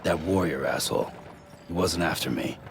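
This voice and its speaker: An actor playing a gruff, weary loner hero in a cinematic video game, speaking in a terse, guarded, blunt way with a gravelly voice. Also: male voice, a bass voice, normal speed, noisy background. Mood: matter-of-fact.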